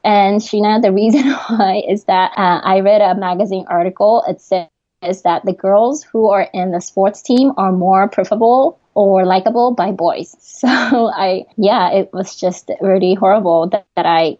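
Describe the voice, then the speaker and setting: Guest, young woman, Japanese accent, mellow voice, many fillers, lo-fi sound. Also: medium pitch, steady tone, unhurried. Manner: earnest, laughs often, frequent fillers. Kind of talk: podcast interview.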